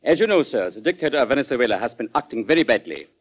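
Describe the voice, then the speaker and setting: An actor playing a courteous, stubborn ambassador in an old-time radio drama. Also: male voice, mid-range voice, sing-song, husky. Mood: coolly polite. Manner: courteous, measured, unyielding. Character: formal, coolly stubborn German ambassador. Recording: dull vintage radio recording.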